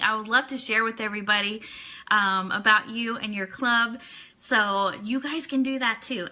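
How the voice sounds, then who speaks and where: A woman's voice: fairly high pitch, sharp tone. A woman hosting a casual live-stream fan news show, chatting informally and enthusiastically straight to her viewers. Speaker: radio network show host.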